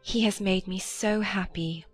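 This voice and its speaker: A woman playing a gentle, trusting, earnest young gentlewoman in a dramatized period audiobook. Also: mid-range voice, steady tone, a little slow, faint music behind, natural voice. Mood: happy, tender. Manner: soft-hearted, earnest.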